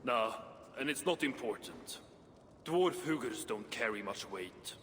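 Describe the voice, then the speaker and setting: A man's voice: medium pitch, expressive, measured pace, a bit bright. An actor playing a sceptical, dry-humoured dwarf craftsman with a terse, dismissive way of talking in a video game. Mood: grave, gruff. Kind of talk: video game cutscene acting. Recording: long reverb, faint background noise.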